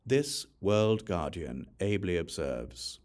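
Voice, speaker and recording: A man reading a Buddhist scripture aloud as an audiobook, in a measured, solemn recitation. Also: low-pitched, lively intonation, darkish tone. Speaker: audiobook reader.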